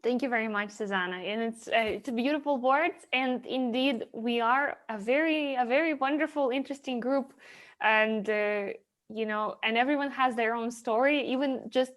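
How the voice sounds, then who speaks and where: Fairly high-voiced woman hosting a video call. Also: moderate pace. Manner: warm thanks, frequent fillers and restarts. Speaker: host of online volunteer meeting. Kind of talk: casual video call chat.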